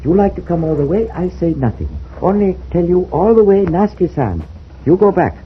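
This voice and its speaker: An old, tinny radio drama with light noise carries a portly Chinese merchant. He speaks in a fairly high voice and formal, clipped broken English with a put-on Chinese accent. He is courteous and fatalistic throughout. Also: very animated, normal speed. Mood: polite, unruffled. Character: very fat, courteous, fatalistic Chinese merchant aged forty-five. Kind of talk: 1950s radio drama acting. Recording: tinny, noisy old-time radio recording.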